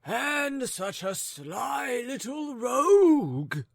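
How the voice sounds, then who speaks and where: A man voicing a forgetful, rambling old gentleman who coughs and talks in a wheedling, quavering chant. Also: sing-song, gravelly, no echo, clean studio sound, exaggerated voice. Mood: gossipy. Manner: high, quavering, drawn-out chant.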